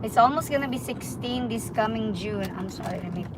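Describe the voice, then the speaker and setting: A middle-aged woman with a Filipino accent chatting casually, with halting, hesitant phrasing, as she would with a customer. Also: on the high side. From Philippines. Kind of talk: casual chat. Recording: very loud vehicle and road noise.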